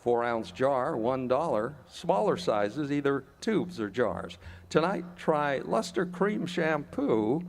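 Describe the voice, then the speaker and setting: A man's voice: mid-range voice, lively intonation, slow pace, vocal fry, slight background noise. A man announcing a live radio theater show and reading sponsor copy in a scripted old-time radio style. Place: outdoor amphitheater stage. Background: music.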